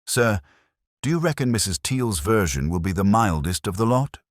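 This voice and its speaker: A man's voice: fairly low pitch, very animated, normal speed, no echo, studio quality, voice pushed up. A man voicing an earnest, uneasy police sergeant in an audio mystery, plain-spoken, hesitant and respectful. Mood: uneasy, curious.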